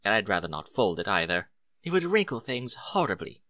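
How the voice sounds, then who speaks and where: A man acts out a genteel lady from a storybook, polite and a little fussy. Her lines swing expressively up and down with a bright tone, in a dry, close recording. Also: on the low side, sing-song, brisk, dry recording. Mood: prim, apologetic.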